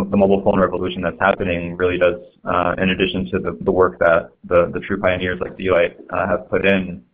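A very deep-voiced man talks in a flat, even tone, pausing with fillers and restarting his phrases as he presents to a webinar audience. The sound is thin and narrow, like a phone call. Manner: halting, many fillers and restarts. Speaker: webinar panelist. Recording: tinny phone line.

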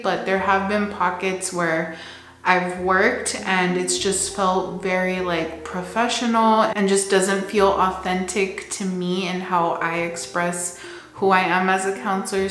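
Young woman counselor from the Texas border, flat delivery, roomy sound. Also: fairly low pitch. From Texas border region, US. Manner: candid, conversational, slips in Spanish words. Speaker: licensed counselor. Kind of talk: casual talk to camera. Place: indoor home room with plants and shelves.

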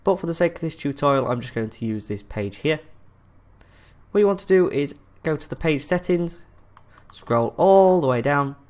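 A man presenting a screencast tutorial in a casual, friendly instructional way. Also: mid-range voice.

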